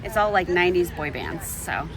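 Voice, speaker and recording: A woman's voice: lowish voice, expressive, normal speed, over music. A woman from Wales, chatting casually to camera as a travel vlogger and giving candid reviews. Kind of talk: casual vlog talk to camera. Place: restaurant, then outdoors in the street. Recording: crowd noise behind.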